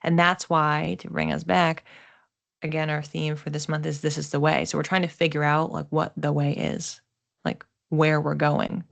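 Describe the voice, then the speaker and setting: A woman with a low-pitched voice talks through an astrological forecast as its host. Her delivery is conversational and explanatory, and she slips into quoting imagined reactions as she goes. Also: little pitch movement, moderate pace, gravelly. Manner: casual, explanatory, acts out imagined thoughts.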